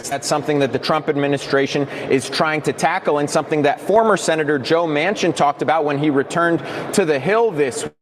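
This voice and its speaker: A man reporting as a television news correspondent in a brisk, scripted field report. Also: a little high, a little hiss or hum.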